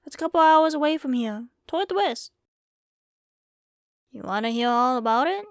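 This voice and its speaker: Woman voicing a folksy, high-pitched Wild West saloon keeper. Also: lively intonation, normal speed, no echo, studio quality. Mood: delighted, teasing. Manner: folksy, rambling, drawling storytelling. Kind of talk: ASMR roleplay, storytelling to the listener.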